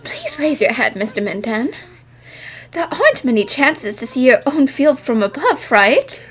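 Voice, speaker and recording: A woman's voice: sits high, sing-song, a little slow, husky, full character voice. A woman acting a confident, spirited young female detective in a cartoon fan dub, explaining things politely with self-assurance. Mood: cheerful, encouraging.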